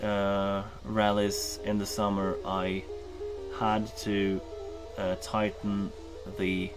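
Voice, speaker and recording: Norwegian guy rambling on stream, lowish voice, lots of uhs, guitar music playing under him. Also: monotone, very slow. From Norway. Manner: rambling, many ums and uhs, self-correcting. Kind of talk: casual chat while gaming.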